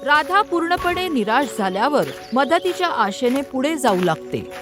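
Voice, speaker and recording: A woman narrating an animated fable in a scripted storytelling style. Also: high-pitched, moderate pace, over music.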